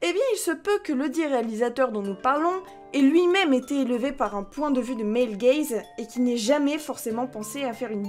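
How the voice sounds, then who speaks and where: A woman's voice: a little high, a little mellow. A woman giving scripted explanatory commentary as a panelist, with a dryly sarcastic way of talking. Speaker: debate show panelist. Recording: music behind the voice.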